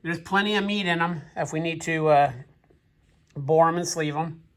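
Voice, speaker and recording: Man, slightly high voice, congested with a cold, casual shop talk, thinking aloud. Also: normal speed, fairly bright tone. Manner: thinking aloud, plain-spoken, musing. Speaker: machinist, channel host. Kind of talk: casual shop commentary while working. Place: machine shop workbench.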